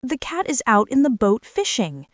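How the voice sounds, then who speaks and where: A woman's voice: a little high, sing-song, moderate pace, no room sound. Lady doing a moany ship's mate guy, contrary storybook sailor, dry sound. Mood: grumbling. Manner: complaining, contrary.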